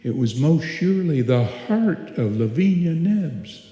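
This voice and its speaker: A man playing the ominous narrator of a suspense tale, deliberate and dramatic, in old-time radio style. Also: a little high, very animated, mellow, highs cut off. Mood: hushed suspense. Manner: deliberate, dramatic, repeating phrases. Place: live stage performance in a hall. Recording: echoey hall, long reverb.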